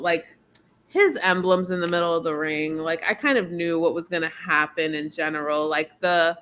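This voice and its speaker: A woman co-hosting a wrestling podcast, giving measured, thoughtful opinions with frequent ums. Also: lowish voice. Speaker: podcast co-host. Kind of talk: casual podcast chat.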